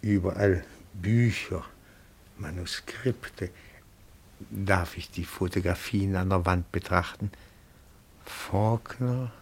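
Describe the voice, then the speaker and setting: A small, elderly bookkeeper in a radio drama, timid and full of reverence. His low voice is gravelly and he speaks very slowly, with pedantic care. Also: darkish tone, heavily acted. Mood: awed, savoring. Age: older. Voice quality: gravelly, husky, often breathy. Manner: deferential, pedantic, slow and careful. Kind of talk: German radio play acting.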